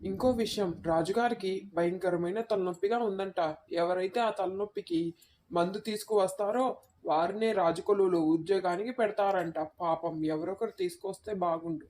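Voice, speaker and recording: A woman's voice: mid-range voice, normal speed, darkish tone, slight background noise, lightly acted. A woman voicing an earnest young village man in a moral tale.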